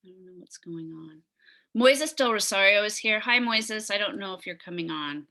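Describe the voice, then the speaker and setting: Woman, meeting chair, video call, enthusiastic, restarts often, bright forceful tone. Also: mid-range voice, expressive, normal speed. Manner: talkative, enthusiastic, trailing restarts. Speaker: meeting chair of neighborhood committee. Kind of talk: virtual community meeting.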